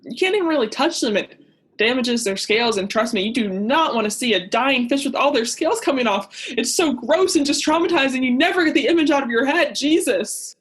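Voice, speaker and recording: A woman's voice: sits high, animated, a little fast, husky. A young woman playing a melodramatic, lonely, self-pitying male diver in a comic radio play, with a theatrical manner. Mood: lonely griping. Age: young adult. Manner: theatrical, gushing then griping.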